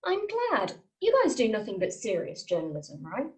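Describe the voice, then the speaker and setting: A woman reading a sardonic, sharp-tongued new journalist in an amateur script read. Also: medium pitch, huge pitch swings, normal speed.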